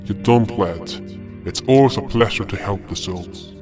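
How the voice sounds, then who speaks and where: First-person fantasy narrator: male actor for dutiful spirit guardian, lowish pitch, measured, solemn delivery over guitar music. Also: lightly acted.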